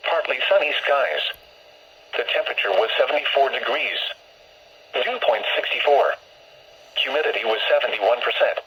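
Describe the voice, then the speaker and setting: A man's voice: mid-range voice, slightly rough. An American man's synthesized voice reading scripted weather bulletins formally in clipped phrases, heard over a radio. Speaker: automated weather radio announcer. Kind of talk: scripted weather forecast and observations readout. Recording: muffled lo-fi weather radio speaker.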